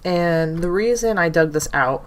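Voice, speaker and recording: Stuffy-nosed young woman, low voice. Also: animated, normal speed. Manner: rambling, self-correcting, thinking aloud. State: stuffy and nasal from allergies. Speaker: gaming channel host. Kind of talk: casual gameplay commentary.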